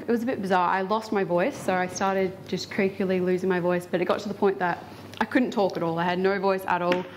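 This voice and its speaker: A woman's voice: mid-range voice, a little hiss or hum. A woman telling an audience about her own experience, casual and hesitant with many fillers. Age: 20s. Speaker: invited speaker. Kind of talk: personal account to an audience.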